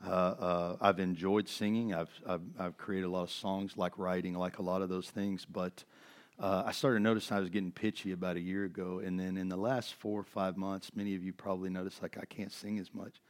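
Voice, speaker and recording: A middle-aged man gives a sermon in a low, slightly worn voice. He talks to the congregation in a loose, earnest way, full of restarts and fillers. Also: little pitch movement, brisk. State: voice worn from overuse. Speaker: pastor.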